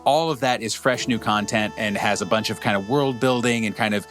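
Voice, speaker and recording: A man's voice: fairly low pitch, brisk, fairly bright tone, soft background music. A man guesting on a podcast over a video call, talking casually and rambling with lots of filler words. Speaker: game designer and podcast guest. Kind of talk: casual chat.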